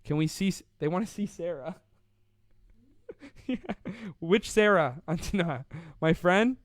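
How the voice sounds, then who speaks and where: A young man with a slightly high voice chats playfully and casually, rambling and laughing often as he hosts a live stream. The sound is dry and close, with no room echo. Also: lively intonation, a little slow. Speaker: host of a live online show.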